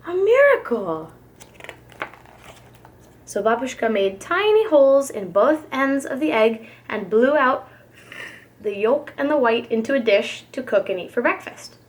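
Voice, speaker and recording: A woman with a fairly high voice narrates a children's picture book. She reads as a preschool teacher does, with careful, dramatic expression. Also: expressive, normal speed.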